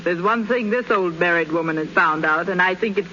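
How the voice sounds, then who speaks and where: A woman's voice: on the low side, fairly quick, bright, forceful tone. A woman playing a chummy, advice-giving housewife. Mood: earnest. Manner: earnest, chummy persuasion. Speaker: radio ad actress. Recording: dull, faintly hissy 1930s radio.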